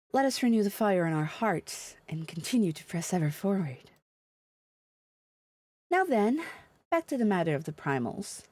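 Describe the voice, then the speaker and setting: A woman's voice: mid-range voice, darkish tone, no room sound. A woman livestreaming a video game, chatting casually and reading dialogue aloud in an irreverent, blunt, sweary manner.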